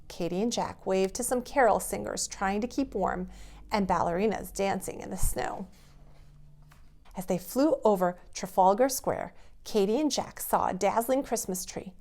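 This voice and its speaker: A woman reading a storybook aloud to young children in an expressive storytelling manner. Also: mid-range voice, normal speed.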